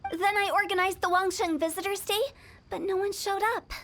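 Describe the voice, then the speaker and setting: Eccentric, very high-voiced young businesswoman. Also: steady tone, fairly quick, a bit bright. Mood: proudly explaining. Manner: breezy, theatrical, persuasive. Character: cheerful, eccentric young female funeral parlor director, salesy. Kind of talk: anime game voice acting.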